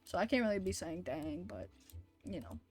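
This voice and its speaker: A woman live-streaming a video game, commenting casually and excitably with mild swearing. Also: fairly low pitch, moderate pace, soft background music.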